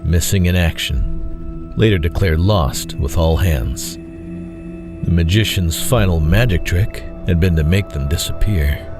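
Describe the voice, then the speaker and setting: A man reading scripted dramatic fiction as narrator, in a measured, solemn way. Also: low voice. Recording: faint music bed behind voice.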